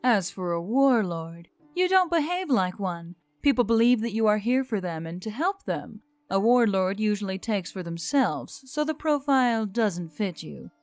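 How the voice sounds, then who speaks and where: A woman voicing a gentle, earnest otherworldly woman in audiobook dialogue, reassuring and measured in formal phrasing. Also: medium pitch, lively intonation, no room sound, soft background music, lightly acted. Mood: earnest, explaining.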